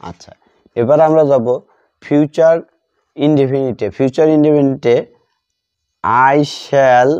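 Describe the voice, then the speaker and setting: Man, grammar tutor with a Bengali accent. Mellow tone, extremely unhurried delivery, repeats phrases as if dictating. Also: mid-range voice, steady tone, clean tone, dry recording. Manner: deliberate, dictating word by word with repetition. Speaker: English grammar teacher. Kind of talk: instructional lesson, bilingual grammar explanation. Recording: dull lo-fi sound.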